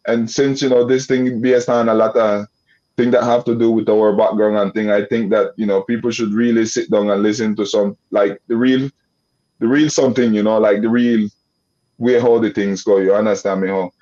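Young guy, nicaraguan creole accent, mellow dark voice, rambly with lots of "you know", muffled video call audio. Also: fairly low pitch, fairly even intonation, normal speed. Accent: Nicaraguan Creole English. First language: Creole English. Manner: loose rambling with many restarts and "you know" fillers. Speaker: guest. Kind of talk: casual video-call interview. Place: indoor room.

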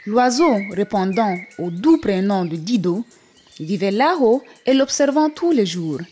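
A woman storyteller reading a scripted African folktale for an animated story. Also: mid-range voice, unhurried. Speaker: storyteller, narrator. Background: music.

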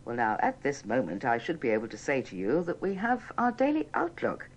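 A woman's voice: a bass voice, wildly swooping pitch, fairly quick. A British woman working as a live radio announcer, politely apologetic as she ad-libs her links. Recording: dull archive radio recording.

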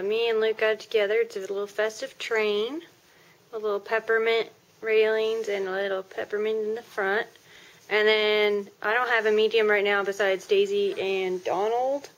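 A woman's voice: medium pitch, steady tone, normal speed, a bit bright. A woman in her twenties chatting casually to camera in an informal, rambling way. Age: 20s. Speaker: vlogger. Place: small room at home, indoors. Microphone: handheld camera at arm's length. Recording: noisy handheld camera in a room. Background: clear background noise.

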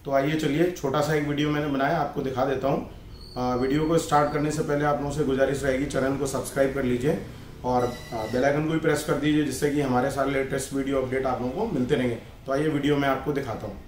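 Guy in his 30s pitching a house for sale, flat even voice, bit of background hum. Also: fast. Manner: plain conversational sales pitch with hesitation fillers. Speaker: property dealer. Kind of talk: real estate listing vlog. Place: indoor office with decor shelves, then outdoors walking through a lane.

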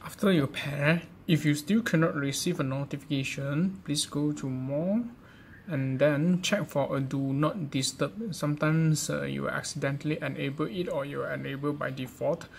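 Higher-pitched man with creaky voice, noisy recording. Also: normal speed. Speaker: presenter of a gadget how-to guide. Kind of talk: informal step-by-step tutorial narration. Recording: clearly noisy amateur recording.

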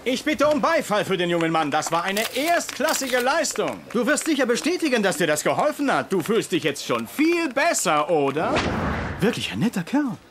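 An actor playing a sarcastic, gruff senior doctor who secretly cares, in a German-dubbed TV comedy-drama. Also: male voice, high voice, big pitch swings, moderate pace, noisy background. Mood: mocking. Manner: sarcastic, ranting.

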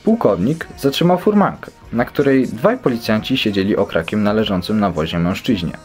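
Man, scripted memoir reading, lively swooping intonation, soft music behind. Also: on the low side, fairly quick. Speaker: reader of a quoted memoir.

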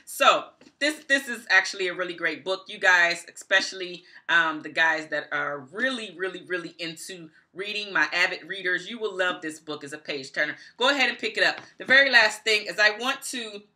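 Woman, middle-aged, lowish pitch, sharp bright tone, emphatic, repetitive for stress, casual home vlog review. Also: normal speed. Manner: emphatic, repeats phrases for stress. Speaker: book reviewer, vlogger. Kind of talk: casual review talk to camera. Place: small indoor room at home.

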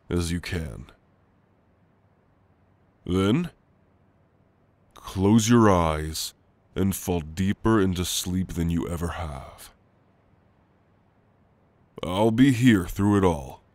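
Gentle, playful shadow demon played by a man for ASMR roleplay: low-pitched, unhurried, soft and reassuring. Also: no echo. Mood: eager, tender. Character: gentle, teasing male sleep paralysis shadow demon. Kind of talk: ASMR roleplay, intimate and slow. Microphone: close, dry microphone.

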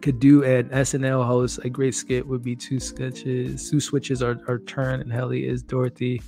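A man's voice: medium pitch, darkish tone. A man in his thirties hosting a casual live stream, chatty and upbeat, laughing often and thanking viewers, close to a desk mic in a small room. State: losing his voice. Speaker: livestream host. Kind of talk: casual live-stream chat reading comments. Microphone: studio mic on desk, close.

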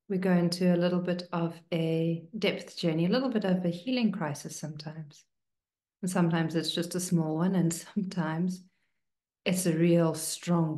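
A woman meditation teacher leading a guided meditation in a hushed, soothing manner, leaving long pauses between short phrases. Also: sits low. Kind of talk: guided meditation, slow and spoken to listeners. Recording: roomy, echoing sound.

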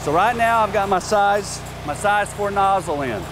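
High-voiced man giving an outdoor how-to. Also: lively intonation, moderate pace, noisy background. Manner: plainspoken, practical, casual asides. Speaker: company presenter. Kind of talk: how-to demonstration. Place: outdoor industrial yard. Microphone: lapel mic.

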